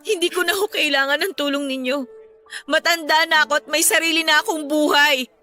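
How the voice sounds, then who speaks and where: Bitter, bright-voiced young Filipina daughter. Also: female voice, sits high, steady tone, a little fast, faint music behind. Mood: cold, resolute. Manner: accusing, emotional. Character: bitter, defiant young adult daughter rejecting her father. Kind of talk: radio drama acting.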